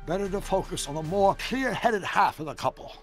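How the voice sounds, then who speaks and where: An actor playing an eccentric, excitable elderly scientist in a video game, with a gravelly voice and a dramatic, wordy way of exclaiming. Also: male voice, high voice, fairly quick, faint music behind. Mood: wry, emphatic. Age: older.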